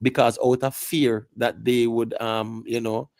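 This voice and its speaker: Jamaican male panelist, gravelly, explains at length, keeps checking the listener follows. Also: mid-range voice, flat delivery, unhurried, a little mellow, no echo, studio quality. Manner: lecturing, repeats "you get me" asides. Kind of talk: live panel discussion.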